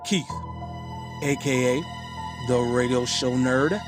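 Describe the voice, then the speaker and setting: A man with a mid-pitched voice introduces a podcast as its host. He takes his time, speaking very slowly in short phrases with pauses between them, while music plays clearly behind him. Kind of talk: podcast introduction, semi-scripted. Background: music bed.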